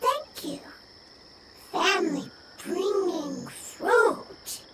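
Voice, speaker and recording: A woman voicing a small, earnest elemental creature in a video game, speaking in halting, clipped broken phrases. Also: very high-pitched, animated, heavy background noise, heavily acted. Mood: grateful. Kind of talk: video game character acting. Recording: music playing loudly behind. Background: loud game music.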